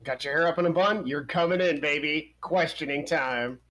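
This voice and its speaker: A man's voice: a little high, moderate pace, a bit bright. A man playing in a tabletop game, cracking jokes with a wisecracking manner. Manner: joking, wisecracking. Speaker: player. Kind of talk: tabletop banter.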